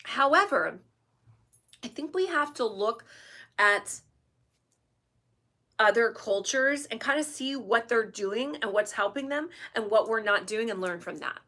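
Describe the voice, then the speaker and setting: A woman's voice: on the high side, animated, unhurried, long pauses, pushed and bright. A woman from the United States hosting her channel, giving emphatic opinion commentary to camera and often checking in with tag questions. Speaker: channel host.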